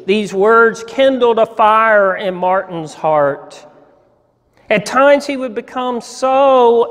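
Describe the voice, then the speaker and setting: Male pastor, 60s, storyteller at the pulpit. Unhurried, measured read, long hall reverb. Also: high-pitched. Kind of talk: dramatic storytelling reading from a church pulpit. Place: church sanctuary, at a lectern.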